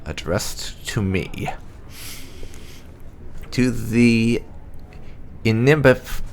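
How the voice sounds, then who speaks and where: A man reading fantasy game documents aloud for a playthrough, in a measured, deliberate and formal storytelling manner. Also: mid-range voice, gravelly, slight background noise. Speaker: gaming YouTuber reading in-game texts aloud.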